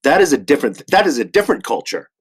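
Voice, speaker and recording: Older male panelist, higher-than-average voice, stammering, searching delivery full of repeated words. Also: very animated, fast talker, husky. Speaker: actor and panel discussion host. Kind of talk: panel discussion.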